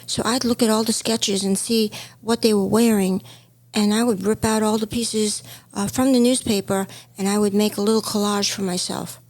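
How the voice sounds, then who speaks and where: A middle-aged woman from Hong Kong whose first language is Chinese, speaking at an ordinary mid pitch. She reminisces in an easy storytelling flow as a podcast co-host. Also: fairly even intonation, normal speed, a little mellow, rough recording. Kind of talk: casual chat.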